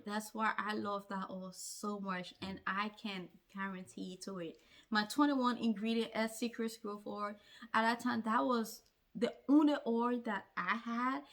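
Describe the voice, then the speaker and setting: A woman with a fairly high voice speaks as a hair-care vlogger. She tells her own story earnestly, stressing points and repeating phrases for emphasis. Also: fairly bright tone. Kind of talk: personal story told to camera.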